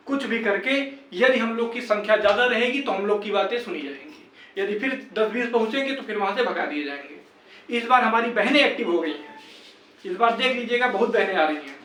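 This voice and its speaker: A man's voice: sits high, fairly bright tone, slight background noise. A young man from northern India making an insistent, reproachful motivational appeal to fellow candidates as one of their organisers. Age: young adult. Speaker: exam candidate and campaign organiser. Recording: echoey room, distant microphone.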